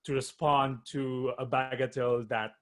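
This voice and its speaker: Man, composer in a video call talk, hesitant, lots of fillers, middle pitch. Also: flat delivery, normal speed, fairly bright tone. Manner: hesitant, many fillers, searching for words. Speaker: composer, panel guest. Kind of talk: casual online discussion.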